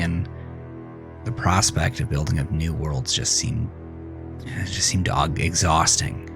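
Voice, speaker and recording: An actor playing a jaded man who looks back on his angry teenage years in a brooding, confessional horror monologue with halting pauses. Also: low-pitched, vocal fry. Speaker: narrator of a horror audio drama. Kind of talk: first-person scripted horror narration, dramatic monologue. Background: music.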